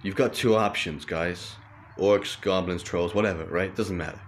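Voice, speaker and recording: A man's voice: low voice, fairly even intonation, moderate pace, a little hiss or hum, lightly acted. An actor playing a nervous young grad student delivering orders, stumbling over his words.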